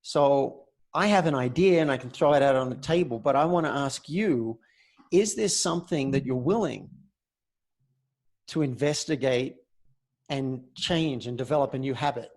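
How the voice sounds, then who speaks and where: A man speaking as a coach in a conversational roleplay, measured and deliberate, often pausing mid-phrase. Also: medium pitch, darkish tone. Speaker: host, communication coach.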